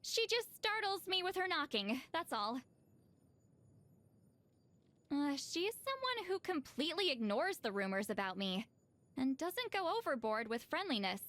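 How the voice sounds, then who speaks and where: High, animated, flustered shaman girl. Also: normal speed. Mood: exasperated, flustered. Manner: flustered, hesitant, protesting, slightly huffy. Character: awkward, proud old-timer shaman woman with youthful voice. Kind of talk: animated game character voice lines.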